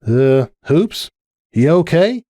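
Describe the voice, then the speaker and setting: A hardy cartoon farm mare, acted out by a man, lifted high and swinging freely in pitch. She is folksy and good-natured, with an airy, teasing manner. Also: sing-song, slow pace, mellow, no echo, clean studio sound. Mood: concerned.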